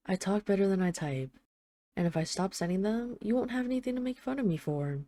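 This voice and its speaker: A woman's voice: lowish voice, dry recording, natural voice. A woman playing an affectionate, wry mafia boss who misses her partner, speaking in an intimate, conversational roleplay monologue like a voicemail. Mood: fond, teasing. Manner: conversational, affectionate, gently self-deprecating, wry. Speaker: audio roleplay voice actor.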